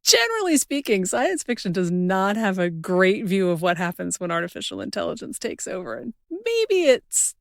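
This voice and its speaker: A woman's voice: medium pitch, no room sound, studio quality. A woman hosting a podcast, musing aloud in a casual, conversational interview style. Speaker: podcast host.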